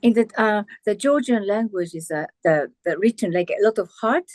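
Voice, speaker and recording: A woman's voice: medium pitch, lively intonation, normal speed, a little mellow, dry recording, studio quality. A woman whose first language is Japanese, hosting an interview with an enthusiastic, complimentary manner and many affirmations. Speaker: interviewer, pianist host. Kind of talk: interview conversation.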